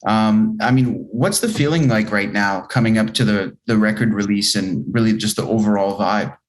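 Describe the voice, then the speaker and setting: A man's voice: fairly low pitch, moderate pace, rough recording. A man hosting a casual music interview, asking questions in an informal, affirming way. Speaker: interview host.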